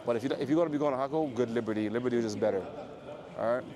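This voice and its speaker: A man with a low voice chatting casually and rambling to his livestream viewers as he walks through an airport terminal. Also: rapid-fire, a crowd in the background. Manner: rambling, joking, talks quietly. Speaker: IRL livestreamer. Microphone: phone or camera carried while walking. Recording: noisy phone livestream audio.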